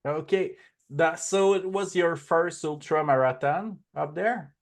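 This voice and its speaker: A man's voice: fairly high pitch, gravelly. A man with a Quebec French accent hosting a podcast interview, asking short questions. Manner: brief backchannels and slow questions. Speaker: podcast host. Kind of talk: casual interview.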